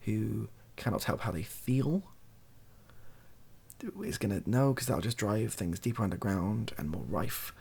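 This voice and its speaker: A British man hosts a softly spoken, off-the-cuff monologue. His low voice is breathy and husky, yet it moves up and down in a lively way. He hesitates and corrects himself as he thinks aloud. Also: measured pace. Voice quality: soft-spoken. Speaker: video host.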